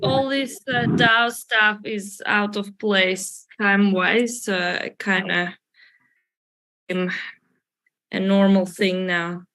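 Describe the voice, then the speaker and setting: A woman's voice: mid-range voice, steady tone, takes it slowly, sharp tone, rough recording. A woman chatting on a video call, sounding sleepy and hesitant, full of fillers. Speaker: new joiner. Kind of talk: casual chat on video call.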